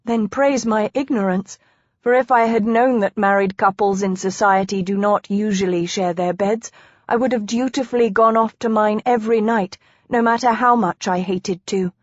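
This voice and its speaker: A woman's voice: medium pitch, steady tone, moderate pace, no echo, natural voice. A woman voicing a spirited, emotional young wife in a historical romance audiobook, with old-fashioned phrasing. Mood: earnest. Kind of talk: audiobook character dialogue. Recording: dry, slightly dull close recording.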